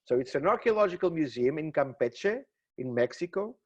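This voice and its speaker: Enthusiastic Portuguese-accented lecturer, dull webinar audio. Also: male voice, a little high, lively intonation, a little fast, a little mellow. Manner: enthusiastic, exclamatory. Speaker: gemmology lecturer. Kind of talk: webinar presentation.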